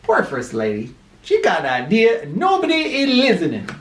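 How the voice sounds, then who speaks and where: A woman's voice: medium pitch, wildly swooping pitch, normal speed, a little hiss or hum. A woman reading a picture book aloud to children expressively, with asides to her listeners. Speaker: storybook reader. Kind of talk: animated children's storybook read-aloud. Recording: roomy, somewhat far from mic.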